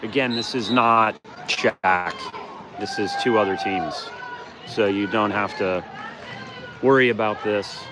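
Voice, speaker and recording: Man, chatty livestream host, outdoors by a pool, phone mic, loud background noise. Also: lowish voice, slow pace, a crowd in the background. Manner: chatty, explains to viewers. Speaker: livestream host and team parent. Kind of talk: casual livestream commentary. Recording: noisy outdoor phone recording.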